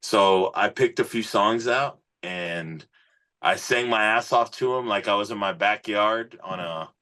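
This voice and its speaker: A man's voice: on the low side, measured pace, pushed and bright. A man speaking as a musician guest in a casual interview, earnest and informal, often dropping in "man" and "you know". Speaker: musician, singer, podcast guest.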